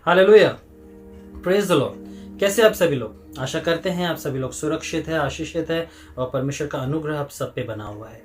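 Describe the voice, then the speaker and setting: Man, Indian accent, pastor preaching, higher-pitched voice, hesitant explanatory delivery, clear background music. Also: lively intonation, moderate pace. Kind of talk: sermon with prayer to an online congregation.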